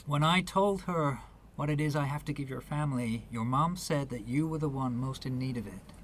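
A man's voice: medium pitch, moderate pace, a bit bright, a little hiss or hum, lightly acted. An actor playing a patient, persistent young man in a radio play, earnest and placating. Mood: sympathetic.

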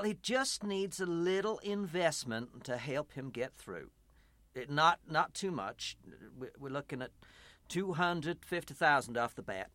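An actor in a radio play playing a smooth-talking evangelical pastor with a persuasive, salesman-like manner and an uneasy conscience. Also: mid-range voice, a bit bright, no room sound. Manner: stammering, salesman-like persuasion, swelling into preaching. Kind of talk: radio drama acting.

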